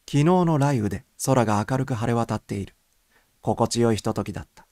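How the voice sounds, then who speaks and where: A man's voice: medium pitch, brisk, a little mellow, no echo. Man, mid-range voice, scripted audiobook narration, first-person storytelling, dry recording.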